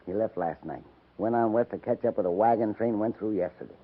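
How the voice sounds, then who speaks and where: A man playing a shifty, protesting frontier horse trader with a husky voice in a 1950s radio western. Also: fairly low pitch, a little fast. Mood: evasive. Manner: evasive, protesting. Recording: tinny 1950s radio recording.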